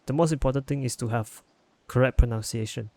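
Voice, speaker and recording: Gravelly young man, casual podcast. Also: mid-range voice, brisk. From Malaysia. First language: Chinese. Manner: relaxed, stumbles and restarts. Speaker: podcast co-host. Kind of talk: casual chat.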